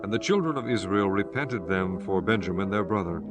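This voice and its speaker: A man narrating scripture for an audiobook in a formal, measured storytelling manner. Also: lowish voice, lively intonation, over music.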